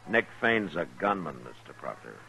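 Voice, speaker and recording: A man's voice: sits low, big pitch swings, moderate pace, gravelly, pushed and bright, faint music behind, exaggerated voice. A man acting a weary, stoic, terse town marshal in a 1950s radio western. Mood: weary, grim. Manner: terse, laconic. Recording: old radio drama recording.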